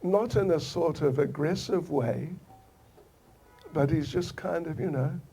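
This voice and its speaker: An older man from New Zealand giving a devotional scripture lecture, telling the story gently and slowly with soft laughs and repeated phrases. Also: fairly high pitch, creaky, soft background music.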